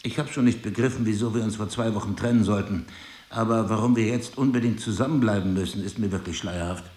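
Low-voiced, remorseful husband played by an actor. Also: male voice, fast talker, a little mellow, reverberant, close to an everyday voice. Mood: sceptical, puzzled. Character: remorseful, bewildered husband, resigned to leaving his wife. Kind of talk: intimate dialogue-driven audio drama.

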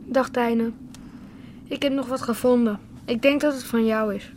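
A woman's voice: a little high, steady tone, measured pace, a little mellow, slight background noise, lightly acted. Woman playing a blunt, offhand boy. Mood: plain. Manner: blunt, dismissive. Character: blunt teenage boy Dirk, brother, indifferent. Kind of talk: radio drama acting.

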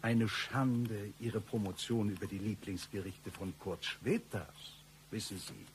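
An actor playing a boastful, menacing mercenary and former lecturer in a satirical radio play, with a pompous, theatrical delivery. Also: male voice, lowish voice, steady tone, unhurried, a little hiss or hum, lightly acted, poor audio. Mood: wistful, grandiose.

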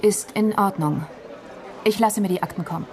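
Actress as brisk female boss, curt, sceptical, dry, mid-pitched, radio crime drama, background crowd. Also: lightly acted. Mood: probing. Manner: curt, dry, short questions. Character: brisk, sceptical woman in charge, questioning a colleague.

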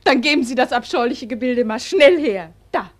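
High-voiced, teasing soldier's wife. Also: female voice. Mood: teasing, bossy. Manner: brisk, mocking, commanding. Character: teasing, bossy, tender-hearted soldier's wife. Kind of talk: post-war German radio play acting.

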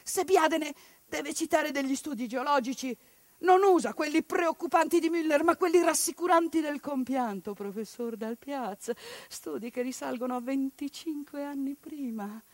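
A woman's voice: high-pitched, moderate pace, no echo. A woman actress performing a theatrical civic monologue, indignant and declamatory, firing rhetorical questions.

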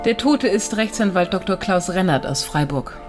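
An actress playing a cool, quick-witted and protective friend, speaking dryly in a radio crime drama. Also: female voice, on the low side, animated, close to an everyday voice. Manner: dry, sharp-tongued, unruffled. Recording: studio radio play, music behind.

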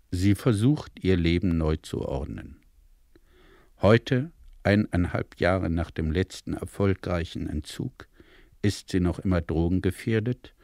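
Very deep male documentary narrator, dry studio. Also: a little mellow, dry recording. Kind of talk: scripted radio documentary narration.

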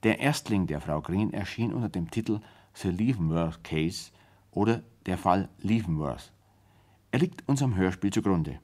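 A man, a radio play director, introducing a drama in a measured, explanatory, formal 1970s radio style. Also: deep voice, expressive, a little mellow.

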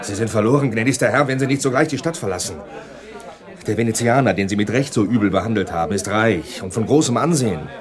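Guy as worried polite gent, talks fast, crowd behind him, radio play. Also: fairly low pitch, lightly acted. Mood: urgent, warning. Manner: urgent, courteous. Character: deferential, anxious gentleman offering a warning. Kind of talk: German radio drama acting.

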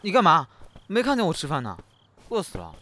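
A man playing a lively, impulsive, easily huffy young man in an audio drama, expressive and blunt. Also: a little high, brisk, a little hiss or hum, heavily acted. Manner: expressive, blunt, playful grumbling. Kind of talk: Chinese audio drama acting.

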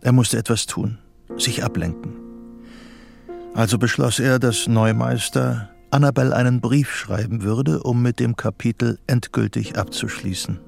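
An actor narrating a psychological thriller radio drama in sober, scripted literary prose. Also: male voice, medium pitch, steady tone, unhurried, gravelly, darkish tone, faint music behind. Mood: sober, measured.

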